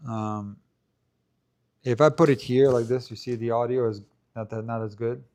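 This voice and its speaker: A man with a low, soft voice hosts a live stream, answering viewer questions in a chatty, loose way. He hedges often, restarts sentences and fills gaps with "like" and "you know". The handheld mic held close keeps his voice near and clear. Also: fairly even intonation, moderate pace. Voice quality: low, not strong voice. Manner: chatty, hedging, many fillers and restarts. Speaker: tennis channel host. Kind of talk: live stream Q&A, casual chat. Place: indoors, talking to camera.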